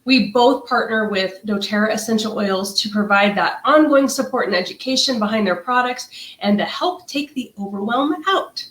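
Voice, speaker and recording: A woman's voice: fairly high pitch, normal speed, a bit bright. Nasal stuffed-up woman, echoey room. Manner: chatty, rambling with many fillers and restarts. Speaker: co-host of a wellness livestream. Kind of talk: casual chat.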